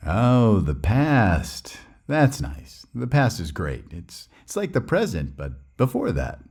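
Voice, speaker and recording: A man acting as a dotty old widower dad, sweet and sheepish. He speaks slowly and haltingly in a mellow voice that swings gently up and down in this comedy drama. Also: lowish voice, wildly swooping pitch, slightly rough, full character voice. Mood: genial, evasive. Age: older. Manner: doddering, halting, slow. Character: dignified but dotty elderly widowed father, sweet and sheepish.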